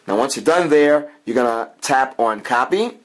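A man's voice: medium pitch, lively intonation, normal speed. Man giving a casual app demo. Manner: talks in plural "we", informal, improvised and repetitive. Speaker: app reviewer, channel host. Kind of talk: casual hands-on product demo commentary.

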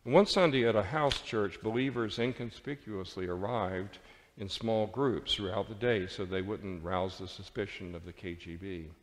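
A man preaching a sermon with deliberate, dramatic phrasing and pauses. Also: on the low side, slight background noise. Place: large church sanctuary with congregation. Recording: reverberant church hall.

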